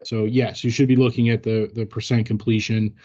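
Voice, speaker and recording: A man explaining things informally as a workplace training presenter, in a halting, hesitant way. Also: lowish voice, flat delivery, mellow, muffled recording.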